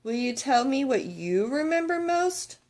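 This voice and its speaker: A woman's voice: high-pitched, big pitch swings, unhurried, darkish tone, voice pushed up. Storybook schoolgirl played by a woman: young, earnest, curious, always questioning. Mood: curious, pleading.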